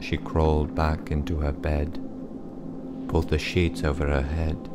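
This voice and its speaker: A man narrating a bedtime story in a dramatic, measured and suspenseful style. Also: a bass voice, flat delivery, heavy background noise.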